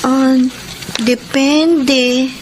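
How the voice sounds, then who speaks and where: A woman's voice: high-pitched, steady tone, very slow, noisy background. An actress playing an earnest, tender young Filipino woman torn by love in a radio drama. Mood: flustered. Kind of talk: radio drama acting and narration. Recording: music under the voice.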